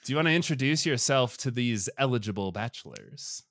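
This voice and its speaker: A man with a booming voice hosting a podcast as a theatrical dating game show announcer. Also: lively intonation, fairly quick, a bit bright, no room sound, clean studio sound. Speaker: podcast host.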